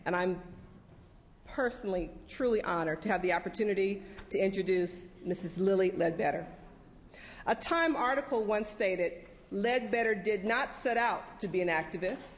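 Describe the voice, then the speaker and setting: A woman, a legislator, formally introducing a guest speaker from a podium in a large legislative chamber. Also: medium pitch. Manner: reads a quote aloud. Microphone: podium microphone. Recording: reverb from a large hall.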